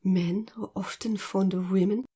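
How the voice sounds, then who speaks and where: A woman's voice: medium pitch, steady tone, a little slow, warm tone, slightly rough. A woman voicing a proud, blunt young country woman in an audiobook, speaking in curt, plain sentences. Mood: vexed. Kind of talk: audiobook character dialogue.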